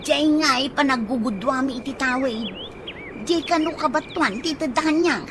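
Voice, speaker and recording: A woman with an Ilocano accent playing a bossy, nagging wife with a sharp tongue in a radio comedy drama. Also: high voice, normal speed, close to an everyday voice. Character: bossy, scolding wife stirring up an inheritance feud. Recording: noisy ambience with chirping birds.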